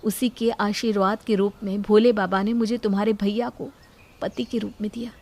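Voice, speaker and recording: A gentle young wife played by a woman narrator in a cartoon moral story. She is earnest and pious, with a mid-pitched voice. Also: little pitch movement, moderate pace, lightly acted. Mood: gentle, reassuring. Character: devout, gentle young wife and daughter-in-law.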